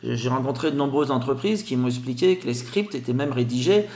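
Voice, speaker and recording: A man's voice: mid-range voice, a little fast, reverberant. Sing-song man with a Grenoble accent. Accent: Grenoble French. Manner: lively, sing-song delivery. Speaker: guest, telemarketing expert. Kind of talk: podcast interview.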